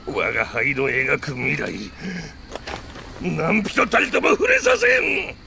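Wildly theatrical scheming warlord villain. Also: male voice, very high-pitched, wildly swooping pitch, drawn-out words, creaky, background noise, exaggerated voice. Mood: defiant, furious. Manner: melodramatic, swinging from sly muttering to shrieking. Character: scheming, theatrical middle-aged villain warlord, volatile and gleeful. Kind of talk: Japanese video game voice acting.

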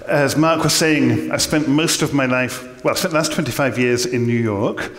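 A middle-aged man with an English accent giving a measured, scholarly theology lecture from a church lectern. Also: mid-range voice. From England. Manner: measured, scholarly, dry humor. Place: large church, indoors. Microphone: lectern mic. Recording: long church reverb.

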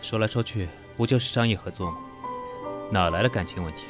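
A man's voice: on the low side, quick pace, music in the background, natural voice. A man acting a guarded, proud businessman in an audio drama, with a cool, restrained, businesslike manner. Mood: composed, firm. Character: guarded, proud businessman facing a former lover.

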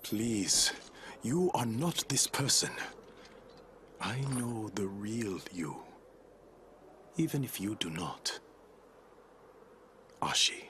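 An actor playing a noble, earnest samurai in an animated game, speaking in measured, formal phrases. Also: male voice, on the low side, roomy sound, big-room sound, slight background noise. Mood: gentle pleading. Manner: measured, solemn, formal diction. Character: noble, earnest samurai man, calm and resolute. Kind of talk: dramatic animated game voice acting. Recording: music behind the voice.

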